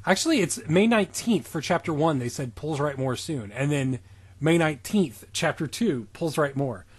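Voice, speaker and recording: A man's voice: fairly high pitch, expressive, moderate pace, breathy edge. A man co-hosting a comedy podcast, reading reviews aloud and joking around with lots of laughter and swearing. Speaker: podcast co-host.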